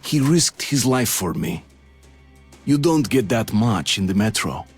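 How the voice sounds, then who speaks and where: A man's voice: on the low side, expressive, measured pace, husky, exaggerated voice. A man playing a brooding, guilt-ridden soldier who narrates a video game in a reflective way. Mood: troubled, reflective. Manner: somber, reflective. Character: brooding young male soldier narrating his guilty mission. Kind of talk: scripted first-person game narration. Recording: music under the voice.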